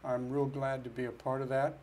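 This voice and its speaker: A man's voice: mid-range voice, completely flat delivery, brisk, darkish tone. A man who is a financial planner, answering interview questions in an earnest, step-by-step way. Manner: earnest, explains step by step with restarts.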